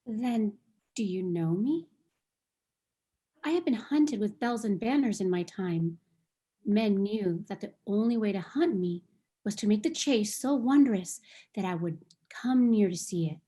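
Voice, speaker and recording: A woman voicing a proud, sorrowful female unicorn in a table read of a stage play, speaking in a slow, earnest, questioning way. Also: medium pitch, lively intonation, warm tone, natural voice. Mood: wistful.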